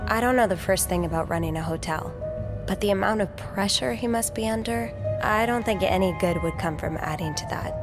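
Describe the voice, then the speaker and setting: A woman playing an empathetic, curious young hotel maid in a video game, speaking in an earnest, gentle, thoughtful way. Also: medium pitch, a little fast, music in the background, natural voice. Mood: earnest, kind. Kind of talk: naturalistic video game voice acting.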